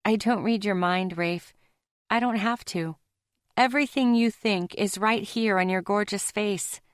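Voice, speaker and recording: Woman reading a soft sweet young woman, soothing, slow and close, dry sound. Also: mid-range voice, dry recording, natural voice. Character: gentle, stubborn young woman, soothing her mate.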